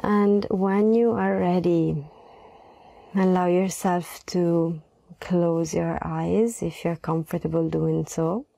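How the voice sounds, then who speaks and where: A woman leading a guided meditation in a soft, gentle voice, unhurried and pausing between phrases. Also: on the low side, fairly even intonation, creaky, no echo.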